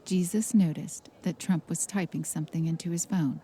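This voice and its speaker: A woman acting as a plain narrator in a scripted satirical story, with a husky, mellow voice. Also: on the low side, steady tone, moderate pace, slight background noise, natural voice. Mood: matter-of-fact. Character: plain, unhurried storybook-style female narrator.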